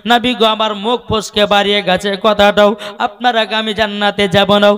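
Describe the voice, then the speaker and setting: A man voicing a devoted, humble disciple who pleads with his prophet in a drawn-out, melodic lament within a sermon story. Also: high voice, flat delivery, a bit bright. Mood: humble pleading.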